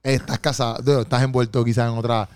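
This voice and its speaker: A man's voice: mid-range voice, little pitch movement, fast talker, clean tone, no room sound. A man hosting a casual podcast, teasing his co-host and talking to listeners. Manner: teasing, hypes the audience.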